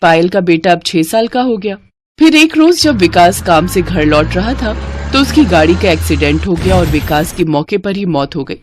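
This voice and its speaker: A woman's voice: lowish voice, lively intonation, moderate pace. Woman storyteller, noisy backing. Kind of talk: scripted children's story narration.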